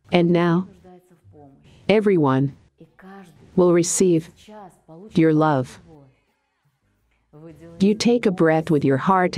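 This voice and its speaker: A woman guiding a meditation in a calm, deliberate, soothing way, with pauses between short phrases. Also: low-pitched. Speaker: meditation guide and coach. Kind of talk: guided meditation narration.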